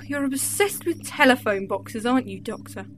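A woman's voice: fairly high pitch, lively intonation, unhurried, slight background noise. An actress playing a clever, sarcastic Time Lady companion in an amateur audio drama. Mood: exasperated. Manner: sharp, sarcastic, exasperated.

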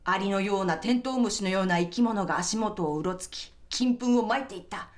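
An actress playing a mother reading a picture book aloud in a radio drama, slow and measured. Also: mid-range voice, close to an everyday voice. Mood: measured, storytelling.

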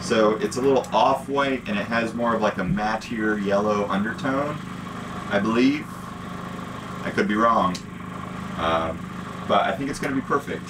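A man's voice: on the low side, moderate pace, pauses often, noisy background. A young man chatting casually as a vlog host while filming himself inside an empty RV he is painting. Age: young adult. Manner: chatty, upbeat, self-filming. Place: inside a gutted RV, hard bare walls. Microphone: camera held at arm's length. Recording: music playing in the background.

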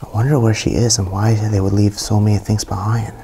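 A young man narrating a walkthrough of an abandoned mansion to a handheld camera, hushed and awed by what he finds. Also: lowish voice, flat delivery, brisk, slight background noise. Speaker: urban explorer vlogger. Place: inside a large abandoned mansion.

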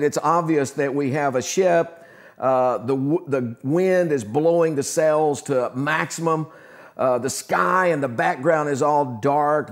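An older man preaching a sermon in a conversational, earnest way, with frequent "uh" fillers, from a living-room church set. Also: a little high. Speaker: pastor.

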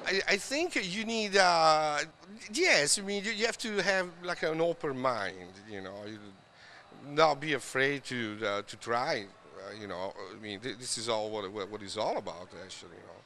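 A man's voice: mid-range voice, animated, normal speed, sharp tone, slight background noise. A man from Sardinia with an Italian accent, talking casually and hesitantly in an interview, often saying "you know". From Sardinia, Italy. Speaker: glass artist.

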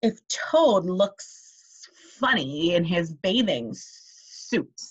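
A woman voicing a sly storybook snake with drawn-out, hissing s sounds and a deliberate manner. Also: on the low side, very animated, husky, lo-fi sound, heavily acted. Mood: sly, curious. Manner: slow, deliberate.

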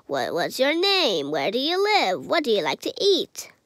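A man voicing a low-key, puzzled puppet creature in a children's show parody, halting and understated. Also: huge pitch swings, fairly bright tone, dry recording, clean studio sound, heavily acted. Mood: hesitant, questioning.

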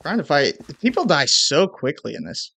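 A man's voice: on the high side, very animated, normal speed, creaky, pushed and bright, no echo. Young male gamer, stuffy nose, headset mic, home room, hyped intros and muttered play-by-play. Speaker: gaming YouTuber. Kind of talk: casual gameplay commentary.

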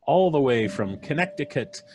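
A middle-aged man hosting a casual live stream, jovial and halting as he makes introductions. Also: medium pitch, sing-song, noisy background. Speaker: podcast host. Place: home studio, video call. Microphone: studio mic on boom arm.

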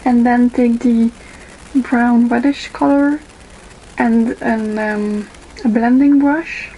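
A young woman in her twenties with a Dutch accent, whose first language is Dutch, giving a makeup tutorial into a webcam in a small bedroom, pausing often with ums as she works. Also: a little high, fairly even intonation, dull audio, over music. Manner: unhurried, with frequent ums and pauses while applying makeup. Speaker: beauty vlogger. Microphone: webcam in front of her.

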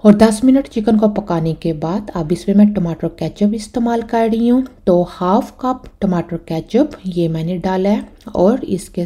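Cooking host, woman, Pakistani Urdu accent, low and very mellow, chatty explainer. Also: breathy edge. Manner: chatty, step-by-step explaining with frequent "na" tags. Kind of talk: voice-over recipe instructions.

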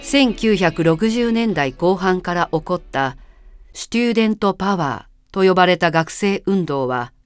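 Woman reading a memoir piece real slow, thoughtful, music playing under her. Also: on the low side, animated, clean tone, no room sound. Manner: slow, reflective, measured. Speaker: narrator. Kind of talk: calm literary narration over film clips.

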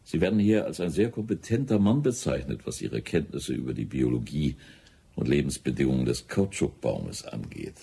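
An actor playing a formal, courteous British colonial official in a German radio drama, with an officious manner. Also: male voice, extremely low pitch, big pitch swings, normal speed, gravelly, warm tone, slightly rough, full character voice. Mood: courteous, businesslike.

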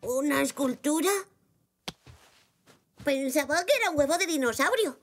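A woman's voice: sits high, lively intonation, normal speed. A woman voicing a curious, imaginative five-year-old zebra boy in a children's cartoon, eager and wide-eyed. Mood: puzzled, wistful. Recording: music behind.

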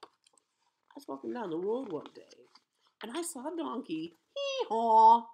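A woman in her sixties reads a picture book aloud for children. Her voice sits fairly high and moves in very lively swoops. She is playful and theatrical, stressing words in a sing-song way, speaking into a webcam mic in a room at home. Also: measured pace, gravelly, breathy edge. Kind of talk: animated children's storybook read-aloud.

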